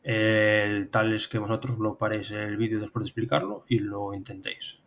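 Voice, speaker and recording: A man's voice: on the low side, steady tone, normal speed, gravelly, a bit bright, slight background noise. Man with a Spain Spanish accent teaching. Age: 30s. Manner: instructional, step by step. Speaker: programming instructor. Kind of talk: tutorial narration over screen demonstration.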